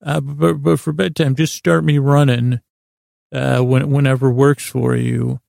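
A man with a heavily gravelly, fry-laden voice rambles through a bedtime podcast monologue. His delivery stays flat and low-key, full of stammers and meandering restarts. The sound is close and dry. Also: no echo. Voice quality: gravelly, creaky. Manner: meandering, halting, stammering with repeated false starts; drowsy, droning, low-key. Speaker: podcast host.

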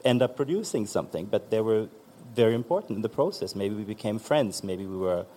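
A man with a fairly low voice speaks as a panel guest. He muses loosely, filling pauses as he thinks. A faint hiss sits under the recording. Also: animated, mellow. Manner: loose, searching, with many fillers. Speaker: magazine founder guest. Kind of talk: conversational panel talk.

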